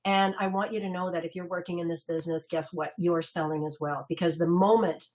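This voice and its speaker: A woman's voice: on the low side, fairly even intonation, brisk, darkish tone, low-quality recording. A woman consultant presenting a salon business webinar in a chatty, upbeat way, laughing easily.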